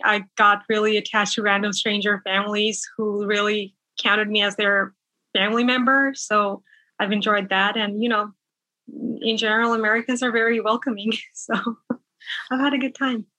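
A woman's voice: medium pitch, bright, forceful tone, dry recording, poor audio. A young woman from Bangladesh, a nurse, chatting casually in an interview over a video call, with frequent fillers and light laughter. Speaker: nurse guest.

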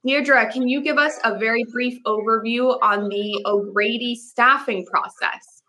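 A woman with a fairly high, bright and forceful voice moderating a panel. She is upbeat and welcoming and keeps things moving from guest to guest. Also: steady tone, a little slow, slight background noise. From immigrant to the US. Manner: upbeat, introduces and thanks each guest. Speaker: host.

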